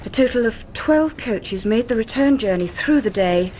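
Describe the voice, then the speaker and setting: An actress playing a helpful, composed librarian with a British accent in a radio drama. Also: female voice, a little high, animated, normal speed, darkish tone, slight background noise, lightly acted. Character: helpful, composed female librarian, younger than the detective.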